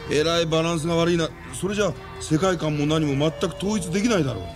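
An actor playing a curious, reasoning man with a husky voice in a Japanese radio drama. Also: on the high side, brisk, music in the background, close to an everyday voice. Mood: curious, surprised.

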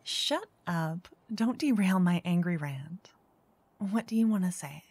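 Slow, breathy, teasing whisper from a woman. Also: lowish voice, expressive, dry recording. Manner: teasing, flirty, switching between whispers and soft speech. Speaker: ASMR roleplay performer as a dungeon master. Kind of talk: soft-spoken ASMR roleplay, whispering into each ear. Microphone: close binaural mic.

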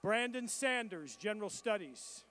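A man with a strikingly high voice calls out graduates one by one at a college commencement. His reading is measured and formal, a steady roll call of names and programs, each pronounced with care. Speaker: commencement name reader.

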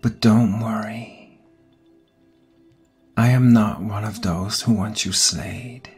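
A man playing an ancient vampire captor in a whispered ASMR roleplay, soothing yet menacing, coaxing softly and deliberately. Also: on the low side, steady tone, exaggerated voice. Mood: earnest, intense. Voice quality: breathy whisper. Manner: slow, hushed, deliberate, coaxing. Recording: close voice over background music. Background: music.

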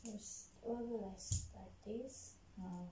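A woman in her thirties from the Philippines with a Filipino accent, whose first language is Tagalog, vlogging casually at home in halting English, giggling and searching for words, recorded by a camera across her living room. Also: medium pitch, steady tone, mellow. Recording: echoey room with faint noise.

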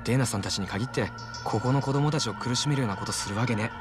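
Man playing casual young sidekick, mid-pitched voice. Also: fast, natural voice. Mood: relieved, trusting. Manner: informal, slangy. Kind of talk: dramatic game cutscene acting.

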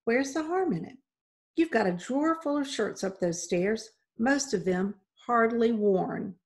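A woman voicing a tiny older housewife who is steady, stern and no-nonsense, in a storybook reading. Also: on the high side, a little slow. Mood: firm, stern. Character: tiny, steady, firm older custodian's wife.

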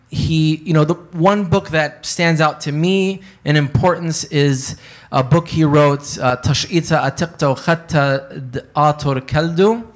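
Guy in his 30s on a conference panel, slightly high voice, lots of ums and sort-ofs, handheld mic, hotel meeting room. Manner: conversational lecturing with many fillers and hedges. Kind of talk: academic conference talk. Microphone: handheld microphone.

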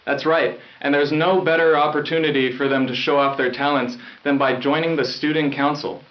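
A man's voice: fairly high pitch, steady tone, moderate pace, lightly acted. A young man playing a smiling, agreeable, polished teenage news anchor in a scripted school broadcast. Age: young adult. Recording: dull lo-fi 1990s VHS sound.

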